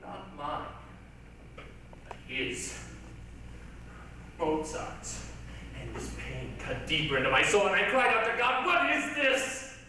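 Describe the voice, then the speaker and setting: Man to play bitter elderly composer: high-pitched, halting, impassioned, confessional. Echoey, distant stage recording. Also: very animated, sharp tone, a little hiss or hum, exaggerated voice. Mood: despairing. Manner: halting, impassioned, theatrical delivery. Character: anguished elderly male composer confessing his bitter envy. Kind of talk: dramatic reader's theatre stage recitation.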